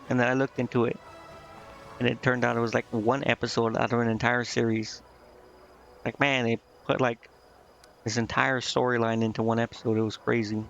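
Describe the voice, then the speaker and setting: Gravelly man rambling on a game stream. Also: medium pitch, a bit bright. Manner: hesitant, vague, meandering. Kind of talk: casual rambling game stream commentary, reading dialogue aloud. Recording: dull lo-fi mic with clear background noise.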